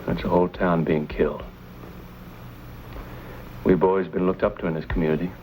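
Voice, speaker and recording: A man's voice: deep voice, fairly even intonation, normal speed, a little mellow, slight background noise, low-quality recording. An actor playing a proud, stubborn young frontier man in a Western drama, speaking in earnest, folksy words. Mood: resolute.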